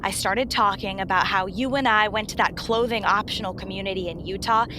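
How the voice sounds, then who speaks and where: A woman's voice: mid-range voice, brisk, background noise, close to an everyday voice. A woman playing a dramatic, exasperated romance novelist in her thirties in a radio play, emphatic and self-deprecating. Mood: exasperated, embarrassed.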